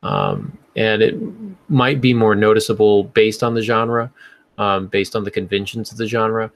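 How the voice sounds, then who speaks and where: Low, creaky-voiced man hosting. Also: lively intonation, measured pace, no room sound. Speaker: podcast host. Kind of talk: casual panel discussion on fiction writing.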